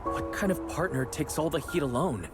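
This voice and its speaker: An actor playing a loyal, stubborn young partner in crime in a video game, speaking with earnest, determined emotion. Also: male voice, a little high, lively intonation, normal speed, lightly acted. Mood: hurt insistence. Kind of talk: dramatic video game voice acting. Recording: loud music behind the voice.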